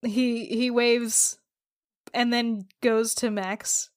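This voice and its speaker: A woman with a slightly high voice plays a tabletop role-playing game. She talks casually and jokingly, improvising what her character does. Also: little pitch movement, extremely slow, clean tone, no room sound, clean studio sound. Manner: informal, joking.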